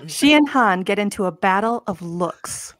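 A woman's voice: mid-range voice, lively intonation, normal speed, dry recording. Woman narrating, dry close sound. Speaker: narrator reading stage directions. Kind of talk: scripted narration, live table read. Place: home room, indoors, on a video call.

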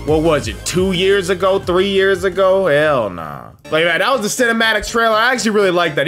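A man's voice: on the high side, fairly bright tone. A young American man giving casual, slangy reaction commentary on a trailer, swearing freely. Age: young adult. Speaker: reaction video host. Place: small indoor room at home. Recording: trailer soundtrack playing behind voice. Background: music from the trailer.

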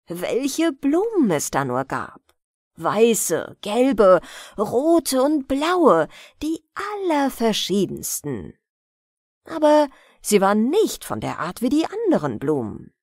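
A woman narrating a bedtime fairy tale as a storyteller, calm, measured and expressive. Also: a little high, no room sound, clean studio sound.

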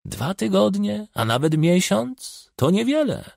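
A man voicing an old village witch, bossy and sly, with a screechy, croaking voice and a commanding, self-assured manner. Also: high-pitched, sing-song, measured pace, darkish tone, no echo, studio quality, voice pushed up, full character voice. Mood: shrewd, assured.

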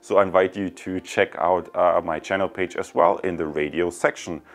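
A man's voice: deep voice, steady tone, normal speed. A man in his thirties giving a casual, unscripted product review in a chatty, rambling way, prone to digressions. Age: 30s. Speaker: product reviewer and channel host. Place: indoors in a home room.

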